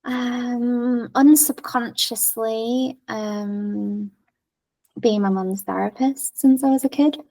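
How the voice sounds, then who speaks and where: A woman's voice: fairly high pitch, steady tone, takes it slowly, mellow, recorded from a distance, low-quality recording. A woman, a coach and yoga teacher, speaking as a podcast guest in a candid, frank way. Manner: candid, frank, laughs easily. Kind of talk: casual podcast conversation.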